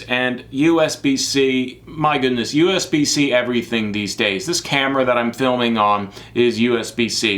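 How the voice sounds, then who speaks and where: A man with a mid-pitched voice presents a product review to camera. He is chatty and informal, leaning on certain words for emphasis and dropping in casual asides as he explains the equipment. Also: fairly bright tone. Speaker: product reviewer, live sports production crew. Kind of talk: casual semi-scripted gear review to camera.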